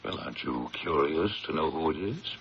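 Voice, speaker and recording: An actor playing a pragmatic, dryly skeptical campaign aide, brisk, wry and persuasive, in a 1970s radio drama. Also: male voice, extremely low pitch, animated, vocal fry, fairly bright tone, heavily acted. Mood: coaxing. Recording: muffled, noisy 1970s radio broadcast.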